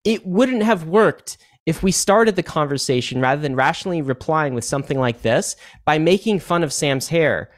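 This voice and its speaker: Man, podcast host, hesitant, filler words, measured pushback, slightly high pitch. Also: expressive. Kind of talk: interview conversation.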